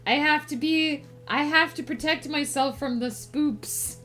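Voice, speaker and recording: A woman's voice: high voice, a little slow, pushed and bright, faint music behind. A woman livestream host chatting casually with viewers in a playful, cutesy put-on character voice.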